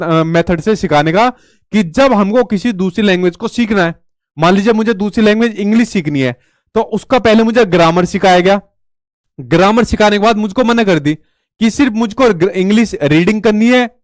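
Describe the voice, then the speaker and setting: Guy teaching an exam prep class, high voice, chatty, lots of rhetorical questions, dry sound. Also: no room sound. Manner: chatty, asks rhetorical questions, tells stories.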